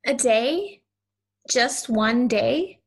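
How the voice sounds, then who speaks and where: A woman's voice: a little high, animated, drawn-out words, creaky, heavily acted. A woman playing a lonely, stubborn, doting ten-year-old girl in an audio play, with an earnest, blunt, childlike manner. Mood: sad, questioning.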